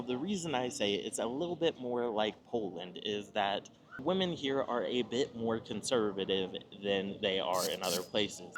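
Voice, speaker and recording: An American man from Nebraska hosting an informal advice monologue, talking in a measured, explanatory way with emphatic pauses. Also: fairly low pitch, a bit bright. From Nebraska, United States. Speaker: video host giving travel advice.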